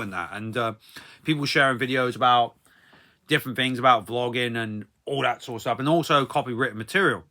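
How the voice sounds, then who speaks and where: A man in his thirties with a very bright, forceful voice at an average male pitch. He talks like a vlogger doing a casual story time, rambling along in a hesitant, repetitive way and often tacking filler tags onto the ends of his phrases.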